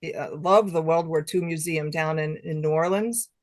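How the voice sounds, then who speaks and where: A woman's voice: deep voice, normal speed. A middle-aged or older woman chatting casually as an interview guest, effusive and enthusiastic. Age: middle-aged or older, has grandchildren. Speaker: guest author.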